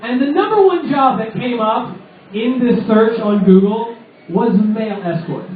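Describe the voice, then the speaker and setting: A man's voice: extremely high pitch, measured pace. A young man doing a rambling, sarcastic stand-up comedy set in a small pub, full of "like" fillers, with the crowd laughing. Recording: echoey lo-fi room recording from far off.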